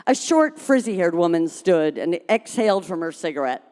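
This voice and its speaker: A woman reading a humorous story aloud at a live event, expressive and dramatic, in a reverberant hall. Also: lowish voice, moderate pace. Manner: expressive, acts out dialogue.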